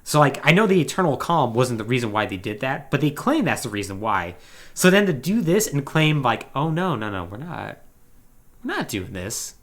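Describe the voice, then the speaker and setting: A podcast host chatting casually about video games in an animated way, with sarcastic asides. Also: medium pitch, normal speed. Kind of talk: casual video game discussion.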